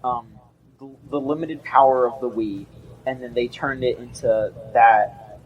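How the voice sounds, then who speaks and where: A man's voice: medium pitch, measured pace, dull audio. A young man co-hosting a casual gaming podcast, rambling and often restarting his sentences. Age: young adult. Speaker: podcast co-host.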